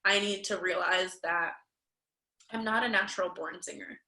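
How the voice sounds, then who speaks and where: A woman's voice: lowish voice, steady tone, moderate pace, pushed and bright, echoey room, rough recording. A young woman, a dancer and fitness instructor, talking openly and reflectively as an interview guest, with lots of fillers. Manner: open, self-reflective, talks with many fillers. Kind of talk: candid conversational interview.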